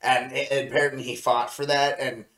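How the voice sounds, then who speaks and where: A man co-hosting a review show in casual chat, with a halting, rambling way of telling things. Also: medium pitch, flat delivery, bright, forceful tone. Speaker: co-host of an anime review show. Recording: roomy, echoey sound.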